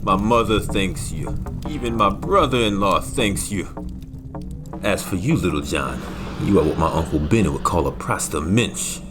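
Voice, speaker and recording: Man acting a deep, wry adventurer. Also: animated, music in the background. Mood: sardonic. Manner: wry, deliberate, old-fashioned turns of phrase. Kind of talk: motion comic adventure voice acting.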